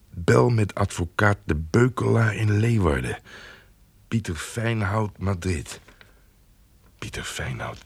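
An actor in a radio play as a gruff, jealous middle-aged husband and father, blunt and scornful. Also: fairly low pitch, full character voice. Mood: suspicious, grumbling.

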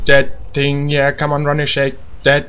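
A man's voice: a little high, monotone, unhurried, pushed and bright, clean tone, highs cut off. A man playing a dim, easily distracted goofball adventurer in a parody fantasy radio play. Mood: goofy singing.

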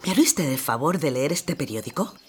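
A woman's voice: low-pitched, lively intonation, brisk, slightly rough, heavily acted. A woman playing a polite, earnest lady in an audio drama, speaking in a formal, courteous way. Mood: polite, insistent. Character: polite, earnest lady, admirer of working women.

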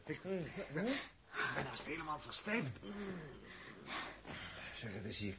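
Over a slightly noisy vintage recording, an excitable spaceship crewman in a 1960s radio drama. The man's voice is mid-range, with halting, colloquial phrasing and a wry, self-blaming streak. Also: medium pitch. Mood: shivering, alarmed.

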